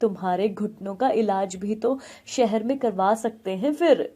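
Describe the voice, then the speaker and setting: A woman's voice: medium pitch, a little slow, mellow, natural voice. A woman voicing an earnest, hardworking young village man in a story. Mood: earnest, hopeful. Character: ailing hardworking young husband and son.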